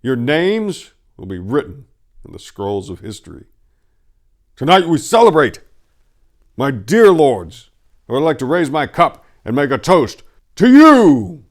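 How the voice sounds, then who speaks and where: A man voicing a commanding warlord who addresses his lords loudly, in grand, proud oratory for a fantasy story. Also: a little high, sing-song, a little slow, pitched above the performer's own voice. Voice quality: loud, declamatory.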